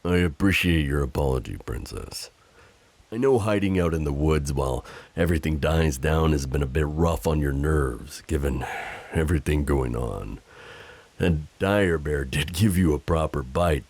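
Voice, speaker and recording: A man playing a loyal, teasing, overprotective knight in an improvised romantic audio roleplay, speaking tenderly and courteously with a gravelly voice. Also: a bass voice, a little mellow, dry recording. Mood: tender, concerned.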